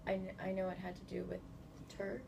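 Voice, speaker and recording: A woman from California in her thirties, answering interview questions in a relaxed, chatty way with lots of fillers. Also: lowish voice, a little fast, creaky. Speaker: guest. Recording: noisy indoor recording.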